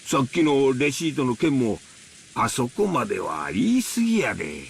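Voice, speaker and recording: An actor playing an easygoing middle-aged husband in a Japanese visual novel, placating and folksy, with a Kansai accent. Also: male voice, mid-range voice, very animated, fast, creaky, slight background noise.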